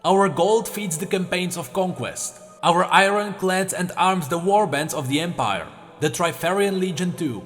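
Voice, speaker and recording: A man's voice: sits high, unhurried, hardly any pauses, a bit bright, big-room sound, faint music behind. A man voicing a proud young nobleman who speaks in a refined, emphatic way.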